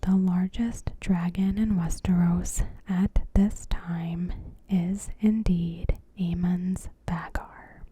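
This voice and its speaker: Female ASMR narrator: whispered, very breathy commentary, extremely unhurried, in short measured phrases. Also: on the low side, mellow. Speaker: ASMR host. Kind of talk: ASMR, softly spoken TV episode commentary.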